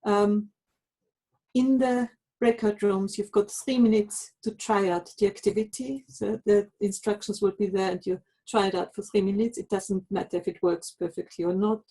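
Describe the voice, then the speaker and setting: A woman's voice: medium pitch, fairly even intonation, normal speed, dark tone, breathy edge, rough recording. A woman presenting a live online lesson for language teachers, explaining tools and giving practical instructions.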